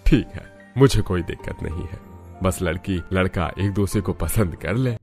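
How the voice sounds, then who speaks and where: A man playing a polite, dignified middle-aged family father in a cartoon drama, speaking in a measured way. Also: low-pitched, darkish tone, soft background music, natural voice. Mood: friendly.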